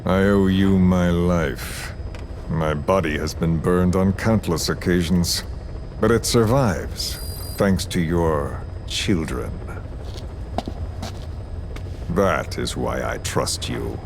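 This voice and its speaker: An actor playing a cold, menacing villain mastermind in a video game, deliberate, sardonic and coolly formal. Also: male voice, deep voice. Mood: menacing courtesy. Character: cold, menacing, courteous middle-aged male villain mastermind. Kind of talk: dramatic game voice acting. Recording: clearly noisy audio-tape style recording.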